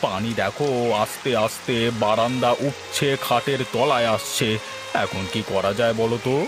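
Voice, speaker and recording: A man voicing a gruff village husband who sells water in a moral-tale cartoon. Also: medium pitch, normal speed, music in the background, natural voice. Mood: alarmed, worried.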